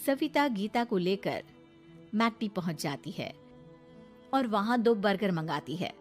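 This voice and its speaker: A woman delivering scripted narration as the storyteller of an animated tale. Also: mid-range voice, measured pace, soft background music.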